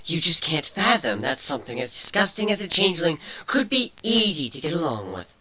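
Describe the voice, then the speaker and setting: A performer voicing a feisty, defensive female changeling with a sharp tongue in a dramatic story reading. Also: mid-range voice, sing-song, brisk, pitched above the performer's own voice, full character voice, rough recording. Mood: wounded, defensive. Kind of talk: dramatic fan fiction reading.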